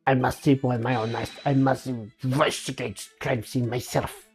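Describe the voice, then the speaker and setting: A woman voicing an earnest, suspicious Russian male juror in halting English with a Russian accent, for a game read-aloud. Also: a bass voice, steady tone, a little mellow, soft background music, pitched below the performer's own voice. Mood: suspicious, stern. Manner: halting, clipped, dropped articles.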